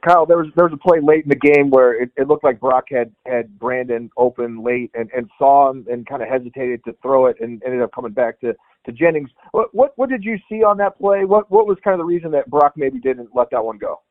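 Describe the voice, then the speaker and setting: A man asking a question as a sports reporter, halting and repeating words, on a telephone line. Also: mid-range voice. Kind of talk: press conference questioning. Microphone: phone conference call.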